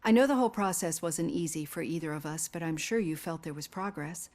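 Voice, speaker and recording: An actress playing a composed, caring female psychologist in a video game, speaking in a measured, earnest, articulate way. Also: deep voice, darkish tone, lightly acted. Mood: calm, probing. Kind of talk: acted video game dialogue.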